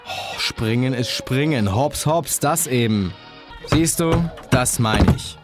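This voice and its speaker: A man's voice: medium pitch, expressive, slow, music in the background, voice pushed up. A man playing an irritated young male student in an audiobook, blunt and exasperated as he speaks. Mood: exasperated, bargaining. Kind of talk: audiobook character dialogue.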